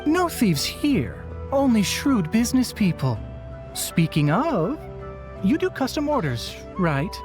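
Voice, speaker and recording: A smug, sly merchant woman from a video game. She drawls her words slowly with lively rises and falls, over clear background music. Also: on the high side. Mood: smug, coaxing. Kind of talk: video game cutscene acting. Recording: music behind the voice.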